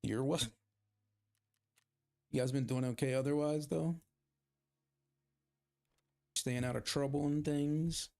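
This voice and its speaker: A man's voice: fairly high pitch, steady tone, slow, creaky, pauses often, warm tone. A man streaming a game, chatting casually with his viewers, rambling and poking fun at himself as he plays. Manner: rambling, self-deprecating, chatting with viewers while playing. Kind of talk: casual gaming livestream chat.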